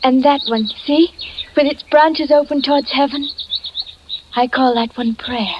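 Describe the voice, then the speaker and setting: A gentle blind girl speaks softly and with trust, her voice high. The sound is dull and muffled, from an old radio recording. A faint hiss sits under it. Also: steady tone, a little slow. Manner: soft, trusting and eager. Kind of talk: 1940s radio acting.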